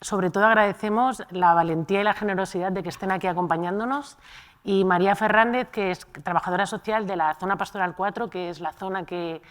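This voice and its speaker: A woman moderating a round-table panel at a conference, introducing guests and asking questions with filler pauses. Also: mid-range voice. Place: conference hall.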